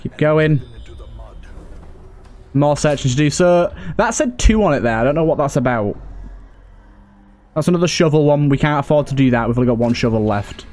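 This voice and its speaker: A man's voice: a little high, expressive, moderate pace, pauses often, a little mellow. A man giving casual, informal let's play commentary, thinking aloud with some mild swearing. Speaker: gaming video host.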